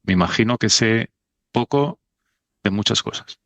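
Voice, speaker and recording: A man's voice: fairly low pitch, a little slow, fairly bright tone, dry recording. A middle-aged man from Spain, a podcast guest talking in a thoughtful, self-deprecating way. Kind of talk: casual conversation.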